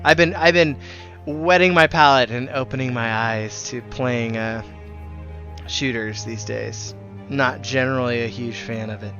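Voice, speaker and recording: A man's voice: medium pitch, a little slow, pushed and bright, highs cut off. A man live streaming a game, chatting casually and in a friendly way with viewers as he plays. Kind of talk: casual game livestream chat. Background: music.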